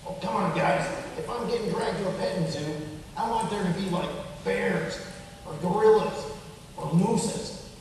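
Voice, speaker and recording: A distant, heavily echoing recording of a wisecracking puppet guy played by a man. He talks high for a man, slangy and skeptical, with a sarcastic edge suited to a children's puppet show. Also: expressive, measured pace, a little mellow. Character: sardonic, gruff-minded, wisecracking male puppet. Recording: echoey hall from far off, faint noise.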